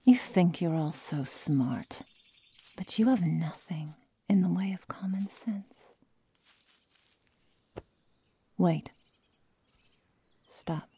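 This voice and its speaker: A woman acts out a cruel werewolf girl in an ASMR roleplay. She talks extremely slowly in a low-pitched, husky whisper that turns gravelly when murmured, taunting and possessive, close to the mic. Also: dark tone, exaggerated voice. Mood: irritated, threatening. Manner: slow, menacing, taunting, drawn-out phrases. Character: sadistic, predatory young female werewolf, cruel and possessive.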